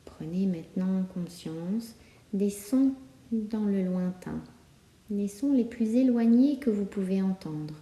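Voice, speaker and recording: A woman guiding a yoga nidra relaxation, speaking calmly and soothingly with many pauses. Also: mid-range voice. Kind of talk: guided relaxation meditation. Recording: roomy, echoing sound.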